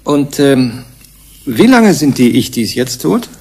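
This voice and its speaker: An actor playing a puzzled, probing investigator in a German radio drama, deliberate and questioning. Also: male voice, mid-range voice, sing-song, darkish tone, husky, heavily acted. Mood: inquiring. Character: puzzled, probing male outside investigator named Takayama.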